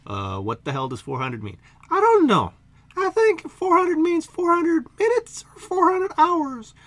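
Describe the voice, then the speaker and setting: A man voicing a tiny, squeaky-voiced male creature who talks nonstop in slangy, rambling bursts. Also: huge pitch swings, measured pace, a bit bright, no room sound, heavily acted. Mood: uneasy. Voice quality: squeaky, high and nasal.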